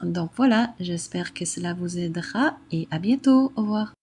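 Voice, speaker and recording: A woman's voice: on the low side, animated, darkish tone, husky. An English-speaking Canadian woman speaking learned French, with careful speech and frequent hesitations. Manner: careful, with frequent "euh" pauses. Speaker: French learner sharing an expression. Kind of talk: prepared video message.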